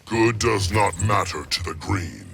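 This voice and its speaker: A man voicing a hulking, ancient plant monster in a fighting game, a solemn guardian of nature who speaks gravely and imperiously in a rumbling, gravelly voice. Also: expressive, measured pace, heavy background noise, full character voice. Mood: stern, menacing. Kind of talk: fighting game character dialogue. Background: loud music.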